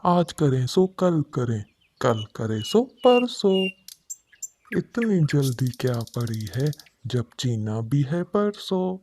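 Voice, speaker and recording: A man voicing a lazy, mocking male crow husband in a moral cartoon, in an unhurried way. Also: medium pitch, very animated, mellow, a little hiss or hum. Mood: mocking, complacent. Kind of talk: scripted moral cartoon dialogue.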